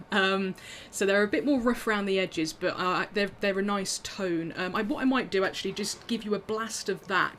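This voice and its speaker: A woman's voice: mid-range voice, a bit bright, a little hiss or hum. A woman musician talking casually through her instruments on a video call, chatty and self-deprecating with frequent ums and little laughs.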